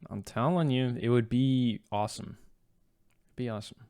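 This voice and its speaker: Man, podcast co-host, medium pitch, casual chat, dry close recording. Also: moderate pace, mellow, clean tone, no room sound.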